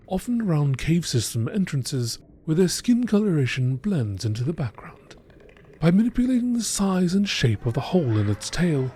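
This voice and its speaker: Mellow, higher-voiced male documentary narrator. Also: husky. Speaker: narrator reading game text aloud. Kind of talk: nature documentary narration, scripted.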